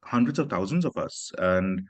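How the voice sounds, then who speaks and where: A man from Pakistan talks about his work as an author in an earnest, reflective way. His voice sits very low. The sound is dry and close, with almost no room echo. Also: expressive, moderate pace. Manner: earnest, reflective, frequent "you know" and "right". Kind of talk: conversational literary talk. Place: literary festival stage.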